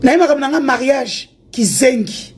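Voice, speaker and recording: A woman's voice: sits high, expressive, normal speed, a little mellow, slight background noise. A woman from Congo delivering a heated, confrontational rant, taunting and defiant as she moves between two languages. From Congo (DRC). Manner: confrontational, taunting, mixes French and Lingala.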